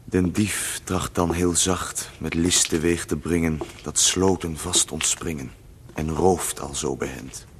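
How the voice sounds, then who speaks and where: A slow, mournful night-wandering poet. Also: male voice, very deep voice, steady tone, slight background noise. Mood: solemn. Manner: measured, mournful verse delivery. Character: lonely lovesick young male poet wandering at night. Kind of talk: 1970s radio drama verse recitation.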